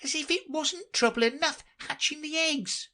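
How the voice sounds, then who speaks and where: A shrieking, indignant pigeon. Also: female voice, normal speed, sharp tone. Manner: shrill, accusing, sobbing complaints. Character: fretful shrieking female pigeon, indignant and suspicious.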